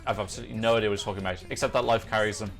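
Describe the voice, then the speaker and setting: A man's voice: fairly low pitch, steady tone, very fast. Man chatting casually over music. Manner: chatty, opinionated, thinking aloud. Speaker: host of a music reaction show. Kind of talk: casual off-the-cuff reaction commentary. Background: songs from music videos being reviewed.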